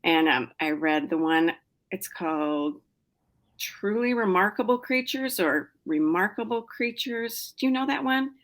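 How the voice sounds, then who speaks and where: A woman's voice: mid-range voice, lively intonation, measured pace, a bit bright. A middle-aged woman novelist chatting as a podcast guest, with lots of fillers, restarts and small laughs. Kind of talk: casual interview chat.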